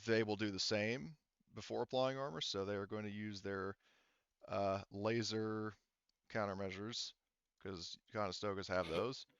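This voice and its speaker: A man acting as game master of a tabletop game, explaining rules casually in a halting, step-by-step way. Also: fairly low pitch, steady tone, a bit bright, clean tone, no room sound, dull audio.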